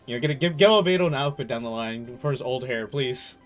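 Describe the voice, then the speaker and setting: A man's voice: medium pitch, expressive, quick pace. A young man giving casual, informal playthrough commentary as a game streamer, reacting aloud. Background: faint game music.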